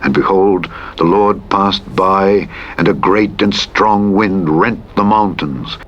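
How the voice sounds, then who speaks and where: A man reading scripture as a scripted narration, in a measured, solemn and declamatory manner. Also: sits low, fairly even intonation, a bit bright, dull audio. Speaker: audiobook narrator. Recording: clear vehicle noise over a radio playback. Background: truck engine and driving noise from a simulator game.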